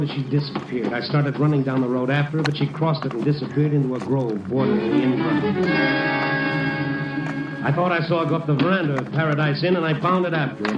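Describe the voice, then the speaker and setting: A man's voice: medium pitch, close to an everyday voice. An actor playing a young, wry reporter who narrates his own mystery in an old-time radio detective drama. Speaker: reporter narrator and lead character. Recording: muffled, distant, echoey old radio recording.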